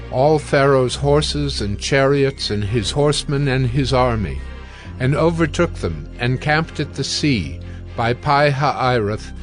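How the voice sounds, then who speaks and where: Solemn male scripture narrator over soft music. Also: mid-range voice. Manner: solemn, measured.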